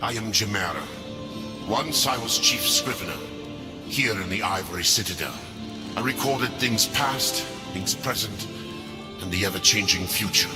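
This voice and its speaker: An actor playing a tormented, unstable angel scribe in a video game, speaking in a formal, archaic, theatrical way. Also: male voice, deep voice.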